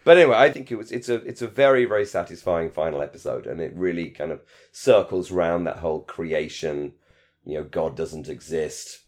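A man's voice: sits low, fairly quick, darkish tone. A man co-hosting a podcast in casual discussion, rambling and repeating phrases for emphasis. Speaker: podcast co-host.

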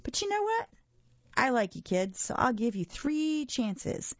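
A woman voicing a gruff, boastful male monster with a growling, menacing delivery in a children's story. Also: a little high, big pitch swings, measured pace, dry recording, muffled recording, voice pushed up. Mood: mocking.

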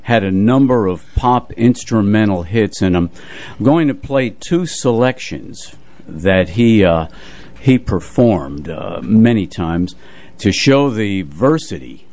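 Older male radio music presenter, lowish pitch, unhurried halting delivery, conversational reminiscing. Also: expressive, darkish tone. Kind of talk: casual radio chat, reminiscing.

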